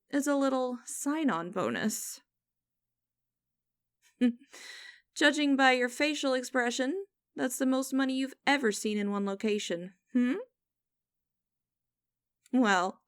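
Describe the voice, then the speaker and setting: A woman playing a cold, condescending female boss in an ASMR audio roleplay, speaking to the listener in a deliberate, commanding, mocking way. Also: high voice, lively intonation, no echo. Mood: smug, condescending. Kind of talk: ASMR roleplay acting, direct address to listener.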